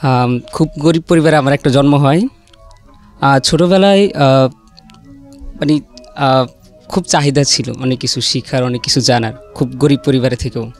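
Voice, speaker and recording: A teenage boy of about fifteen tells his life story in a mid-range voice. He speaks haltingly, pausing to search for words, over faint background music. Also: mid-range voice. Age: teens, about fifteen. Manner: halting, with long hesitations. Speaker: interview guest telling own life story. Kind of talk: personal storytelling.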